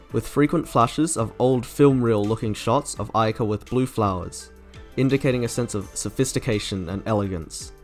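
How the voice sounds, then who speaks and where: A man reading a scripted video review as its narrator. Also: medium pitch. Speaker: video essay host ranking game trailers.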